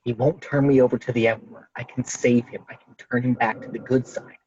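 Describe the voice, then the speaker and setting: An earnest young hero, played by a man, calm and resolute, with a husky mid-range voice. It is a script table read heard through slightly muffled video-call audio with little room sound. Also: mid-range voice, brisk, dry recording, lightly acted.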